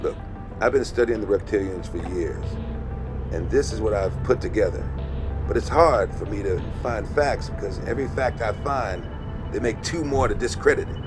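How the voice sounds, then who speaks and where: An actor playing a wild, paranoid conspiracy researcher in hiding in an audio drama, blunt and ominous. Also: male voice, fairly low pitch, moderate pace, lightly acted. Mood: ominous, earnest. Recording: music behind the voice.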